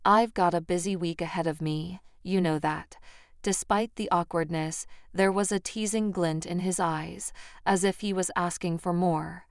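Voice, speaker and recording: A woman's voice: fairly low pitch, steady tone, normal speed, smooth, no echo, studio quality. A woman reading a scripted first-person story as its narrator.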